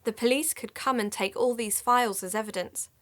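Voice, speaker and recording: An actress playing a serious podcast host, giving scripted narration in an audio drama. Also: female voice, a little high, a little fast, lightly acted. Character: female podcast host narrating a tense investigation.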